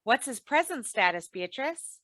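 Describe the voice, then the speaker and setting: A woman plays a patient, kindly wife from an old radio comedy. Her voice is high and bright, genteel and gently exasperated, heard dry and close. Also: very animated, unhurried, no room sound. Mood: curious.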